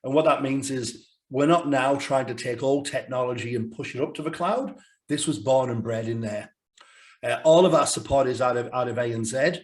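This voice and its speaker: A man gives a business webinar over a video call. His voice is croaky from a cold, and now and then he has to cough or clear his throat. He speaks at a middle pitch in a steady presenter's manner. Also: moderate pace. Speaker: sales director presenting a webinar.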